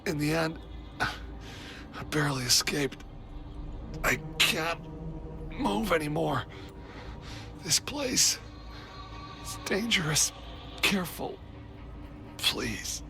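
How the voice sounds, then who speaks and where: A man playing a wounded, exhausted, fearful supply soldier in a game cutscene, halting and struggling for breath. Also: on the high side, sing-song, creaky, heavily acted. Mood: failing, urgent. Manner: halting, strained. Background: music.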